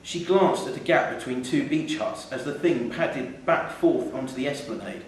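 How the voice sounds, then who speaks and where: Male narrator, wry and measured, reading live fiction, heavily echoing room, mic at a distance. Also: medium pitch, lively intonation. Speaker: short story reader. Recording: echoey theatre hall, distant mic, faint noise.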